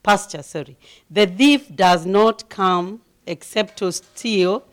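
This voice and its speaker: A middle-aged woman from Kenya with a deep voice, preaching as a guest speaker, humble and given to laughing, growing loud and emphatic as she reads scripture. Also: slow pace. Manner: grows loud and emphatic when reading scripture. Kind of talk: sermon.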